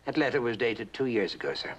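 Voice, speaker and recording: An actor playing a polite but evasive hotel manager in a suspense drama, formal, deferential and guarded. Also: male voice, medium pitch, expressive, quick pace, close to an everyday voice.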